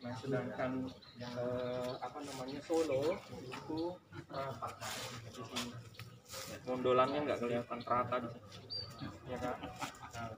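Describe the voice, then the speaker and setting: A man's voice: mid-range voice, little pitch movement, a little slow, pauses often. A man from guiding a group on a tour, explaining conversationally and checking in with his listeners. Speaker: tour guide. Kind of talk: guided tour commentary to a group. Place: on site at a historical cemetery and bathing pool. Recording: noisy, echoey, distant live tour recording.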